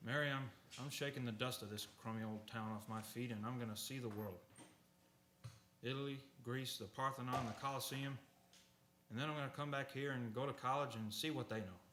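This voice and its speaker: An actor playing a restless, earnest young man with big dreams and a halting, stammering delivery in an old-fashioned radio play. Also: mid-range voice, steady tone, close to an everyday voice. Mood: dreamy, boastful. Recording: roomy echo, faint hiss.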